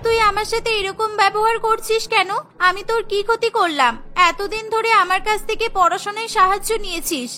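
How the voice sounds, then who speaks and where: A woman's voice: very high-pitched, normal speed, few pauses, pushed and bright, faint music behind. A woman voicing a crafty, indignant teenage schoolgirl in a cartoon.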